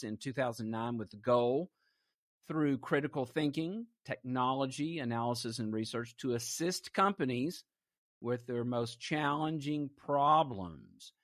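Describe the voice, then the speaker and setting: A man's voice: mid-range voice, no room sound, studio quality. A man hosting an interview program, reading scripted introductions like an announcer and asking his guest questions. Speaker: talk show host.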